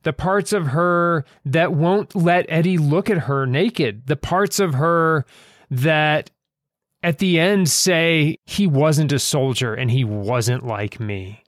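A man with a fairly high voice speaks very slowly in a close, dry recording. He talks like an author in thoughtful discussion, feeling his way through sentences and repeating phrases to press a point. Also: no echo. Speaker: author and podcast host.

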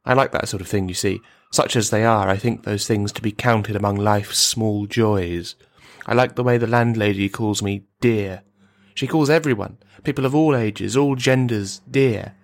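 Low-voiced, bitter army veteran, acted by a man. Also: normal speed, lightly acted. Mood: wistful, rambling. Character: bitter, rambling male army veteran, brittle and menacing. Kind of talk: radio play dramatic monologue.

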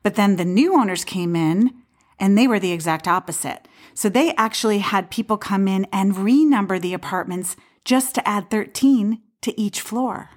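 An actress playing a skeptical, easily flustered woman in a comedic audio play, talking in a halting, conversational, protesting way. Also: mid-range voice, darkish tone, natural voice. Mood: casual, curious. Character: skeptical, flustered woman who rejects romantic destiny.

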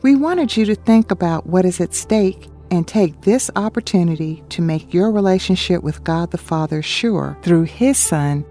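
A woman co-hosting a Bible study, reading scripture aloud in a measured, deliberate way. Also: fairly low pitch, expressive, warm tone, faint music behind.

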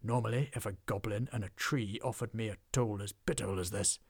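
A man voicing a fierce, burly male dwarf troll slayer who speaks slowly and menacingly. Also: lowish voice, dry recording, studio quality. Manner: gruff, slow and menacing.

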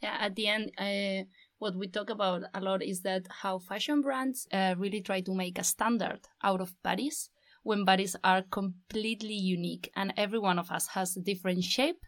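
A woman's voice: mid-range voice, steady tone, moderate pace, dry recording. A young woman from the Basque Country, a design master's student, speaking fluently in long flowing sentences in a casual chat.

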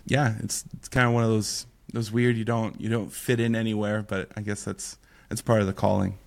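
A man raised in Mexico, a missionary pastor, talking in a relaxed, joking way in a casual podcast conversation. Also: on the low side, normal speed, gravelly. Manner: relaxed, joking, repeats words.

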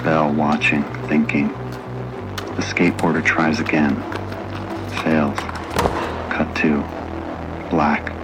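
A man with an extremely low voice narrates a scripted audio drama. He is terse and measured, very slow, with loud music behind him. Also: level tone, rough recording. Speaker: narrator reading screenplay action lines.

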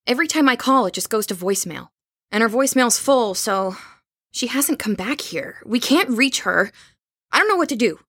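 A woman's voice: a little high, animated, moderate pace, fairly bright tone, slightly rough, dry recording, studio quality, exaggerated voice. A woman playing a worried, determined young roommate in an audio drama, direct and insistent. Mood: anxious. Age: young adult.

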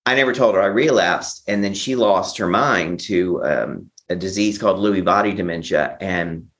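A man giving a personal account as an interview guest, speaking haltingly, often repeating and restarting his phrases. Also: sits low, a bit bright. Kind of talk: personal recovery storytelling.

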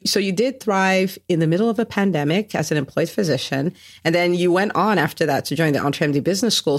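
A woman's voice: fairly low pitch, dry recording. Enthusiastic woman hosting a podcast, dry sound. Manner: enthusiastic, emphatic, addresses listeners directly. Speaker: podcast host. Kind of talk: upbeat promotional podcast hosting.

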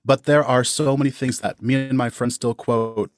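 A man's voice: mid-range voice, fairly even intonation, moderate pace, dry recording, clean studio sound. A young adult man chatting casually as a podcast guest, giving advice in an encouraging way.

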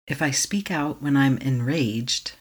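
A meditation guide leading a tapping session, speaking slowly and calmly in short affirmations for the listener to repeat. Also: mid-range voice, breathy edge. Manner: calm, slow, repeating affirmations to be echoed back.

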